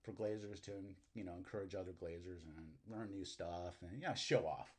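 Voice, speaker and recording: A middle-aged man hosting a casual interview in an informal, halting, complimentary way. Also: sits low, breathy edge. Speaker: interviewer, host.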